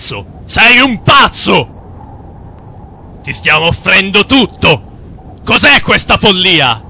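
An actor playing an arrogant, menacing banker's emissary in a cartoon, speaking in imperious declamations. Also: male voice, high-pitched, big pitch swings, takes it slowly, vocal fry, sharp tone, slight background noise, heavily acted. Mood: outraged. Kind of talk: animated film villain dialogue. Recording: music under the voice.

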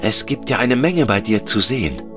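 A man's voice: on the low side, lively intonation, normal speed, over music, voice pushed up, close to an everyday voice. A man voicing a curious, innocent little girl in a fairy-tale audiobook, with an eager and naive way of talking. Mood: delighted. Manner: eager, naive, lilting questions.

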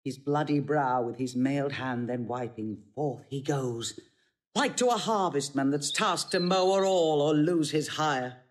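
A woman's voice: low voice, a little slow. An actress playing a fierce, proud older Roman mother in a Shakespearean audio drama, imperious and declamatory. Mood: fierce, scornful. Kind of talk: classical Shakespearean stage acting.

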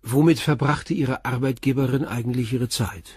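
An actor playing a calm police inspector who puts terse, probing questions in a radio crime drama. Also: male voice, medium pitch, normal speed, breathy edge, dry recording, clean studio sound, close to an everyday voice.

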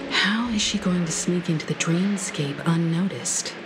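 A woman's voice: fairly low pitch, measured pace, close to an everyday voice, low-quality recording. A woman voicing a poised, thoughtful, mature lady leader in an anime game. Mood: pondering. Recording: loud music under the voice.

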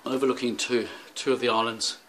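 A man talks through a handheld tour of a seaside hotel, pausing often on fillers and restarting his sentences. His voice sits in the middle of the male range. Faint background ambience runs under the camera audio. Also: mid-range voice, fairly even intonation, fairly bright tone, slight background noise, poor audio. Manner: rambling, many fillers and restarts. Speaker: travel vlogger. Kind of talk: handheld walking tour narration. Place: hotel rooms and seaside street.

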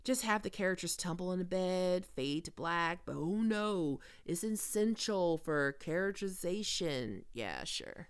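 A woman's voice: lowish voice, expressive, a little slow, hardly any pauses, smooth. A woman playing a demanding, sardonic, flirty diva film star in an ASMR roleplay. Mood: wry, reassuring. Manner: sardonic, swearing, teasing.

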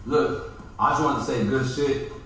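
An actor playing a team star who gives his teammates flat, backhanded praise in a comedy sketch. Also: mid-range voice, moderate pace, distant mic, lightly acted. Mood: sarcastic. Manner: flat, mock-sincere. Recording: echoey room, from off-mic, faint noise.

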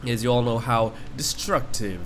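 A man's voice: mid-range voice, moderate pace, fairly bright tone, slight background noise. A man with a stuffy nose from a cold, giving rambling, reactive commentary while he plays a horror game and talks to the screen. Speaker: let's play host. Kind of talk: casual gameplay commentary.

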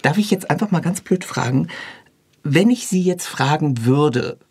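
A man's voice: fairly high pitch, lively intonation, normal speed, darkish tone, husky, dry recording. A man hosting an interview podcast in a conversational way, recorded close in a studio. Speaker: podcast host.

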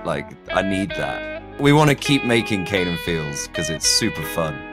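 A man with a low voice makes an informal promotional appeal. He sounds droll, and loud music runs behind his speech. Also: big pitch swings, normal speed. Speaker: podcast narrator and sound designer.

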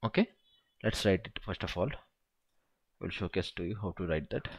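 A man's voice: low-pitched, lively intonation, moderate pace, slightly rough. A man tutoring SQL in a screen-recorded walkthrough, thinking aloud and counting through each step.

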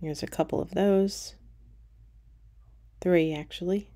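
A middle-aged woman with a low, gravelly voice narrates at an unhurried pace. She talks casually and relaxed, pointing things out as she walks through a casino, recorded on a handheld camera. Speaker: casino tour vlogger. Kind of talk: casual walking tour narration. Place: cruise ship casino, indoors.

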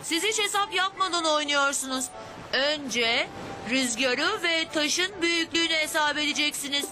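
A woman's voice: high-pitched, moderate pace, natural voice. A woman voicing a clever, self-assured young child in a children's cartoon. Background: music.

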